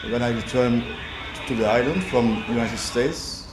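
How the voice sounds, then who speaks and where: Man, unhurried. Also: medium pitch, background noise. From Cyprus. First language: Turkish. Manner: measured, hesitant, many fillers. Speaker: property developer. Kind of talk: relaxed interview answers. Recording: roomy echo with background music.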